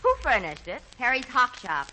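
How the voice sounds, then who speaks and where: Lively, wry dinner guest. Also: female voice, fairly high pitch, moderate pace. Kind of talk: 1940s radio comedy acting. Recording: noisy vintage 1940s radio broadcast.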